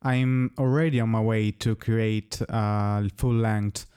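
A man from Italy, a native Italian speaker, giving measured, carefully worded answers as a musician being interviewed. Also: lowish voice, steady tone, dark tone, clean tone. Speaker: musician guest.

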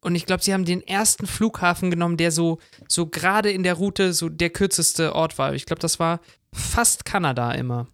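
A man with a somewhat high-pitched voice chats as a podcast co-host. He chimes in with informal remarks and questions. The recording is dry and free of room sound. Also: normal speed, few pauses, a bit bright, dry recording. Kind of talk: casual chat with short interjections.

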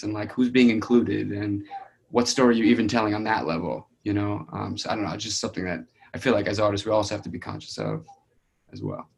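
A young man who is a playwright talks casually, hesitantly searching for his words. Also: lowish voice. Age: young adult. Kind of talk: casual discussion.

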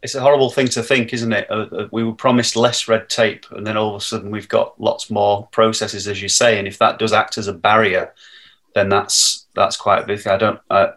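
A man's voice: on the low side, little pitch movement, fairly quick, fairly bright tone. A man who organizes an animation festival, speaking on a panel with many hesitations. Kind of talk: panel discussion.

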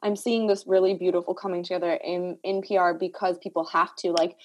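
Woman host, reflective conversational tone, slightly low pitch. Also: flat delivery, brisk, a little hiss or hum. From Puerto Rico. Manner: reflective, heavy use of "like" fillers. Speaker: podcast host. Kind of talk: casual conversational discussion.

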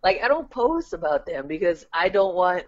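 A man's voice: high-pitched, sing-song, normal speed, lo-fi sound. A man co-hosting a podcast with a stuffy nose from a head cold, talking bluntly and profanely with lots of filler words. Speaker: podcast co-host. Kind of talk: casual podcast chat.

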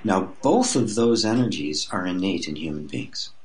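A man's voice: low voice, lively intonation, normal speed, slight background noise, rough recording. A mature man speaking as an interview guest, explaining his personal-growth teaching in a reflective, conversational way with frequent restarts.

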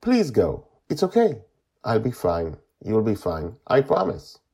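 Guy playing a bossy kid girl in an adventure story, raised pitch, firm and insistent. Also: wildly swooping pitch, measured pace, gravelly, mellow, husky, exaggerated voice. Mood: reassuring. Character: determined, bossy ten-year-old girl adventurer.

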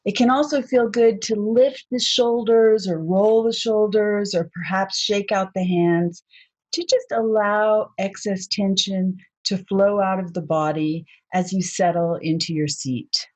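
A woman leading a guided meditation in a soft, unhurried, gently inviting way, on a dull lo-fi recording. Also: medium pitch, fairly even intonation.

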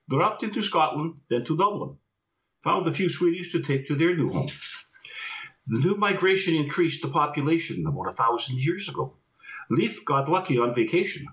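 A man reciting his own poem in a put-on Icelandic accent, measured and slow, over a video call. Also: fairly high pitch, fairly bright tone. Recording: roomy, dull video call audio.

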